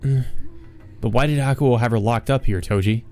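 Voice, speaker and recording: A man's voice: medium pitch, measured pace, darkish tone, soft background music, close to an everyday voice. A man playing a confident, wry, tough male investigator from a visual novel in a casual, assertive manner.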